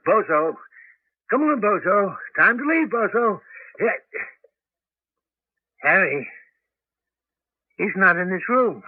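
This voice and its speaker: A man's voice: high-pitched, lively intonation, takes it slowly, long pauses, breathy edge, full character voice. A man playing a cautious, fussy old grandfather and amateur scientist in a radio drama, with a hesitant, folksy way of talking. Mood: scolding. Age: older. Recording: tinny, band-limited 1980s radio broadcast.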